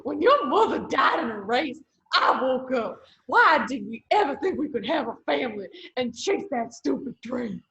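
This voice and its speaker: A woman's voice: sits high, sing-song, normal speed, gravelly, a bit bright, muffled recording, full character voice. A woman playing a weary, stern, protective parent who once raced, in a table read over a video call. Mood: bitter. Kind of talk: table read of fan fiction script.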